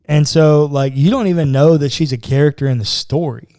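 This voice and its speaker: A man's voice: a little high, a little fast, mellow, no echo, clean studio sound. A man co-hosting a podcast as a pastor, chatting casually about the Bible with informal, slangy asides. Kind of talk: casual conversational Bible discussion.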